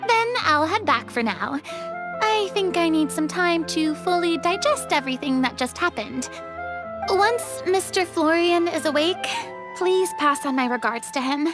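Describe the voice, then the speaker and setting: A woman voicing a girlish, earnest young lady in a video game, courteous and dramatically expressive. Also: very high-pitched, unhurried, music in the background. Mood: cheerful. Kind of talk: scripted video game dialogue.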